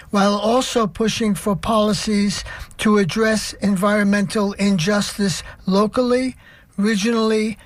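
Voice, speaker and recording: A man hosting a radio program, reading a scripted introduction in a measured, deliberate way. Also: high voice, no room sound.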